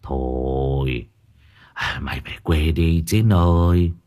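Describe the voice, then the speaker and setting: A man voicing a kindly, resigned old labourer in an audio story, gentle, cautious and fatherly. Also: extremely low pitch, very animated, slow, husky, voice pushed down, heavily acted. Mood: worried, urging. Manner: gentle, worried, advising patience.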